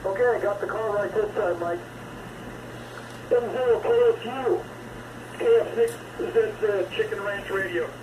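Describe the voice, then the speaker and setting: A man's voice: high voice, a little slow, long pauses. An older man chatting casually and in a folksy, rambling way over a single sideband ham radio link. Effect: shortwave single sideband radio. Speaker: amateur radio operator. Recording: noisy, echoey, distant single sideband radio reception.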